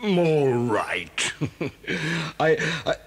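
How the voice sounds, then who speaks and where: A man's voice: mid-range voice, sing-song, extremely slow. A man playing a worried, respectable badger in a children's audio drama, hesitant and conscientious. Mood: puzzled. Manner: hesitant, fretful.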